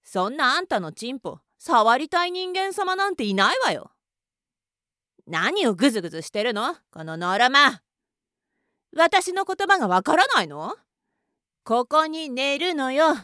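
A woman's voice: high voice, very animated, a little slow, bright, forceful tone, dry recording, studio quality, exaggerated voice. A woman acting a contemptuous, domineering young woman in an adult audio roleplay, mocking and bossy. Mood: scornful.

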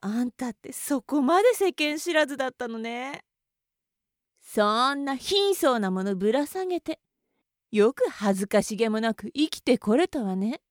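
A woman's voice: a little high, lively intonation, normal speed, dry recording, studio quality, lightly acted. A woman playing a scornful, bossy young woman. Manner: contemptuous, mocking, bossy. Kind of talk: adult audio roleplay.

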